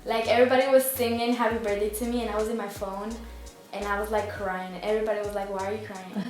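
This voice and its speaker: A young woman who grew up in Spain, chatting casually and softly as an interview guest, with many filler words. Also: mid-range voice, flat delivery, fast talker. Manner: soft-spoken, laid-back, lots of "like" fillers. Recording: echoey room, faint music behind.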